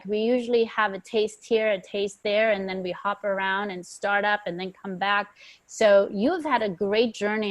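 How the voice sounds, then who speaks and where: A woman hosting an interview podcast, welcoming her guest and asking questions in an enthusiastic, encouraging way. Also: mid-range voice. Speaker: podcast host.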